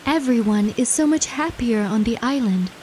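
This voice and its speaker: A woman's voice: a little high, animated, normal speed, a little mellow, natural voice. Woman acting a serene, devoted ferry captain. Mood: blissful. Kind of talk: radio play acting. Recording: faint outdoor ambience and noise behind.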